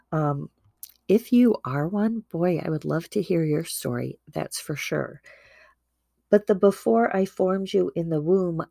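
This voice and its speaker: A woman with a low, gravelly, mellow voice talks about faith like a casual podcast host, earnest and full of asides. She sounds a little stuffy from a starting cold. The recording is dry and close. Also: dry recording. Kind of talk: casual devotional talk.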